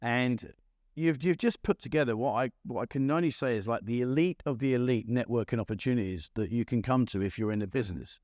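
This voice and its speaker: A man's voice: mid-range voice, brisk, a little mellow, dry recording, studio quality. A man hosting a casual interview, effusive and agreeable, often repeating himself for emphasis. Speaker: interviewer, video host.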